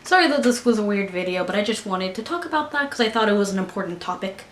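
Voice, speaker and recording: A woman's voice: mid-range voice, lively intonation, fast, fairly bright tone, slight background noise. A young American woman talking earnestly to camera in a personal vlog, stumbling and restarting her sentences now and then. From United States. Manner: earnest, frequent fillers and restarts. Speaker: vlogger. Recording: echoey room.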